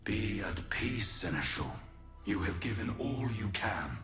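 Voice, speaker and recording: Slow, deep, noble god-warrior. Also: male voice, big pitch swings, fairly bright tone, roomy sound, faint music behind. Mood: solemn, sorrowful. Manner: solemn, declamatory, formal. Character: noble, solemn ancient god-warrior turned demonic living weapon. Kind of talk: scripted video game voice lines.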